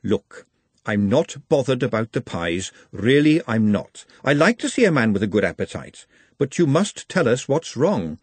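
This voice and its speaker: A man's voice: mid-range voice, very animated, moderate pace, breathy edge, dry recording. A man voicing a forthright, motherly young working woman in a storybook reading. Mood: soothing. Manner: forthright, earnest.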